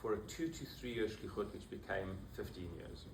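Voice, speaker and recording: A man in his fifties with a South African accent, a rabbi giving an informal talk to a small group, low on energy and halting, with many fillers and restarts. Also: expressive, vocal fry. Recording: roomy, distant mic, background noise.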